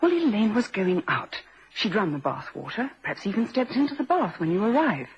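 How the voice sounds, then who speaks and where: Muffled vintage radio drama, insistent woman, earnest, deliberate, dramatic, animated intonation, faint noise. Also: mid-range voice. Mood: insistent, accusing. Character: earnest, insistent woman warning a suspected man.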